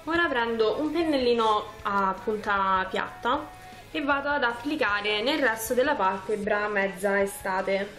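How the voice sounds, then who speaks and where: A woman's voice: mid-range voice, unhurried, music in the background. A woman, casually talking through a makeup tutorial step by step in an informal, chatty way. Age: 20s. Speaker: beauty vlogger. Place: small furnished room at home, indoors.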